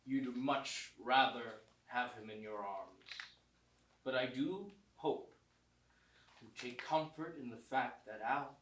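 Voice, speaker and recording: A man playing a placating, strained husband in a staged reading of a play, speaking in a halting, earnest, pleading way. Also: medium pitch, fairly bright tone. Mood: tender, solemn. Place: small theatre, live stage performance. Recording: echoey, distant, noisy theatre recording.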